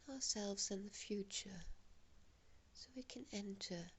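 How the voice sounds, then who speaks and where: Breathy, half-whispering woman leading slow meditation. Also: mid-range voice. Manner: slow, hushed, gentle instruction with long pauses. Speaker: guided meditation instructor. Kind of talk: guided relaxation meditation.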